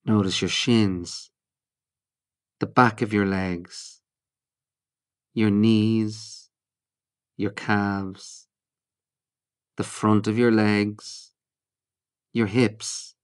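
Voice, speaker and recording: A man narrating a guided mindfulness meditation in a calm, measured, instructional way, with short phrases and pauses. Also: on the low side.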